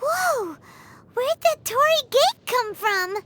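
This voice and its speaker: A woman voicing a small, chatty female companion creature who talks about herself in the third person, in a squeaky, high voice. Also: very animated, slow pace, pushed and bright, a little hiss or hum, exaggerated voice. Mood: curious. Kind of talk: game voice acting.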